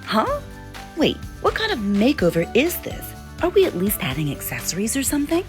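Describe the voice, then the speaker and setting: A woman's voice: fairly high pitch, wildly swooping pitch, moderate pace, faint music behind. A woman voicing a naive young female turkey on a makeover show, chatty and easily alarmed. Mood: worried.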